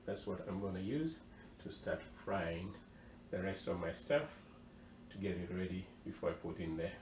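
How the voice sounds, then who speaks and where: A man's voice: low-pitched, normal speed, a little mellow. A man from southern Georgia with a Georgia accent, casually walking through a recipe as host of a home cooking show, repeating words for emphasis. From southern Georgia, USA. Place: home kitchen. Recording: echoey kitchen, distant mic, noisy background.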